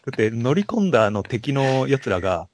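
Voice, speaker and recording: A man's voice: mid-range voice, very animated, brisk, no echo. A man co-hosting a casual film chat, musing over scenes and putting questions to his partner. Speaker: podcast co-host.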